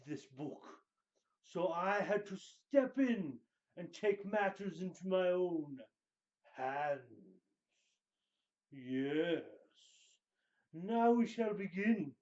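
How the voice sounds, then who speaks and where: A man voicing a pompous, theatrical wizard host who gives grandiose dramatic readings with heavy pauses. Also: sits high, a little mellow, highs cut off. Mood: grandiose, portentous.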